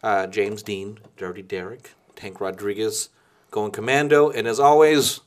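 A man's voice: lowish voice, steady tone, a little slow. A man hosting a podcast, chatting casually in a rambling, digressive way and swearing often. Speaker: podcast host. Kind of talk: casual chat.

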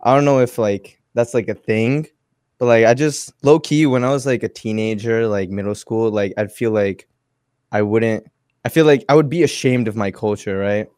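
A young man chatting casually, slangy and halting, leaning heavily on the word like. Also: on the low side, darkish tone, smooth, no echo, studio quality. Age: young adult. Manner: slangy, halting, heavy use of like. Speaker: participant in a group call. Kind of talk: casual chat.

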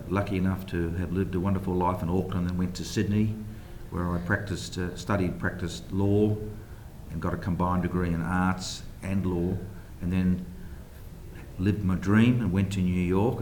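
Deep-voiced New man, echoey room. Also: steady tone, normal speed, darkish tone, a little hiss or hum. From New Zealand. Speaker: guest, private equity investor. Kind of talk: radio interview at a banquet. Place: banquet hall.

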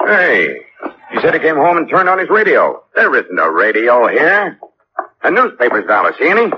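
A man's voice: mid-range voice, wildly swooping pitch, normal speed. An actor playing a blunt, weary male police captain in a 1950s radio detective drama, plain-spoken and direct. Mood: puzzled. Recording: muffled, noisy old radio recording.

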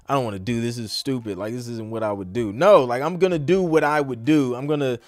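A man's voice: mid-range voice, dry recording. A man hosting a live question-and-answer podcast as a music producer, talking in an informal, slangy, rambling conversational way. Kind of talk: casual live Q&A chat.

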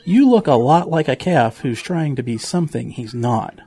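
A man's voice: medium pitch, lively intonation, moderate pace, warm tone, breathy edge. A man playing an earnest, preachy pig with an admonishing manner, in a children's audio drama. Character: earnest, preachy male pig with a fussy nervous streak.

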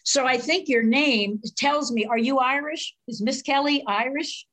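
A woman's voice: sits high, a little slow, fairly bright tone. A woman hosting a podcast interview, gushing and enthusiastic with her guests. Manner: enthusiastic, effusive praise. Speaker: podcast host. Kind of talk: casual interview.

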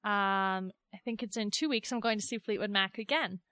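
A woman's voice: mid-range voice, moderate pace, sharp tone, dry recording, clean studio sound. A young woman co-hosting a casual podcast, chatty and upbeat, with frequent giggles. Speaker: podcast co-host. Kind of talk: casual chat.

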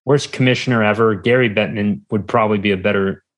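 An American man chatting casually as an interview guest, rambling haltingly and often trailing off. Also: lowish voice, fairly even intonation. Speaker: podcast guest. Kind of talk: casual chat.

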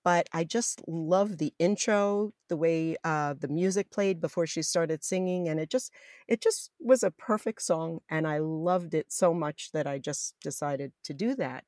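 A middle-aged woman with a deep voice for a woman, fondly and enthusiastically reminiscing in an interview. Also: a little slow, a little mellow, no room sound, clean studio sound. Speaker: interviewee, former college radio jazz announcer. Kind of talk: reminiscing in an oral history interview.

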